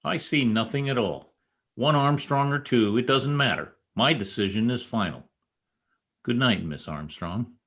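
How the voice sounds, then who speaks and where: A man's voice: medium pitch, expressive, measured pace, fairly bright tone, slightly rough, full character voice. A man playing a proud, sulky young newspaper reporter in an old-time radio drama, stiff and curt. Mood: indignant, cold.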